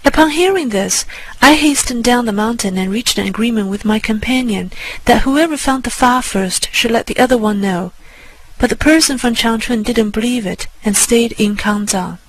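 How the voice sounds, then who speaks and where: A woman reading a scripted first-person spiritual story in a measured storytelling manner. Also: fairly high pitch, low-quality recording. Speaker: narrator. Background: music under the voice.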